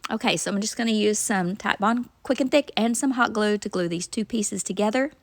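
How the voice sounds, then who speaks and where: Woman, middle pitch, slightly nasal from a cold, chatty step-by-step craft voice-over, close and dry. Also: fairly quick, no room sound. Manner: chatty, homey, step-by-step explaining. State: nasal from a cold, sore throat. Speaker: craft channel host. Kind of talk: casual craft tutorial voice-over.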